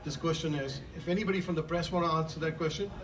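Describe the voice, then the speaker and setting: Halting male host running a Q&A, somewhat high voice. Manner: hesitant, halting, many restarts. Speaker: event host and moderator. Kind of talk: moderating a press Q&A. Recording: distant mic with background noise.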